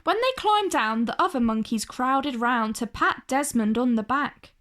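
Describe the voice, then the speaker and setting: A woman storyteller reading a picture-book tale aloud for children in an expressive narrating style. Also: high-pitched, a little slow.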